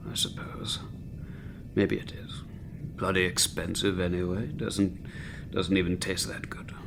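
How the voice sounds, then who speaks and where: An actor playing an arrogant, sardonic middle-aged chief medical officer who drinks, with pompous, biting wit, in a sci-fi comedy audio drama. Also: male voice, sits low, level tone, a little slow, creaky, noisy background. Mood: grudging, resigned. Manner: biting, droll sarcasm with pompous wording.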